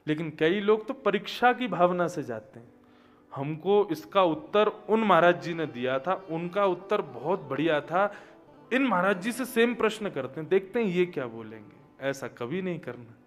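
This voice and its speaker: High-voiced Hindi preacher, emphatic storytelling. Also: male voice, lively intonation, normal speed, faint music behind. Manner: storytelling, swings from quiet to loud emphatic exclamations. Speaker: Bhagavat katha preacher. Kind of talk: devotional sermon with chanted Sanskrit verses.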